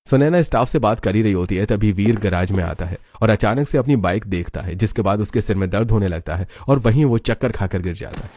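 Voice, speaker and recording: A man's voice: on the low side, lively intonation, fairly quick, hardly any pauses, darkish tone, no room sound, studio quality. A man narrating a scripted moral story as its storyteller.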